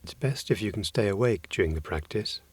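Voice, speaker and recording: Low-voiced man guiding a meditation. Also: lively intonation, breathy edge, dry recording. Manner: gentle, unhurried phrasing with long pauses. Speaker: meditation teacher. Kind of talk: guided meditation.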